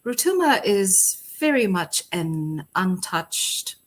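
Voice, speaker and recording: A middle-aged woman from Fiji, a poet, talking as a podcast guest with frequent laughs and thoughtful pauses. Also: medium pitch, sing-song. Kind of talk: conversational interview.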